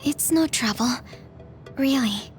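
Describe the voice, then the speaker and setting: High-pitched, caring young squad mate. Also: female voice, slow pace, soft background music. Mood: reassuring. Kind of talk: video game cutscene acting.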